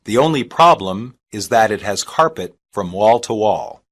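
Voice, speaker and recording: A reader saying short English practice sentences slowly and evenly, each one twice, for a language lesson. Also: mid-range voice, lively intonation. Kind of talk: slow repeated phrase reading.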